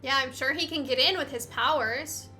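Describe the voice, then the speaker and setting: A woman's voice: high-pitched, normal speed, pushed and bright, faint music behind. A woman giving casual, informal, reactive gameplay commentary as the host of a Let's Play.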